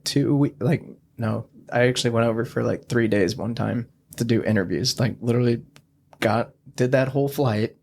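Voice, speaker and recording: A young man guesting on a podcast, telling stories in a relaxed, rambling way and saying "like" a lot. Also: medium pitch, a little hiss or hum. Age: young adult. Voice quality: gravelly, heavy use of "like". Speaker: podcast guest, studio founder. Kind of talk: casual conversation.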